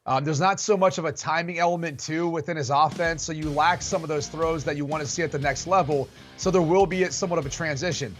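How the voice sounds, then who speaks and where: A man giving a measured, methodical assessment of a quarterback prospect on a sports show. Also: fairly high pitch, fairly even intonation, faint music behind. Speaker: football analyst. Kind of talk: studio sports analysis.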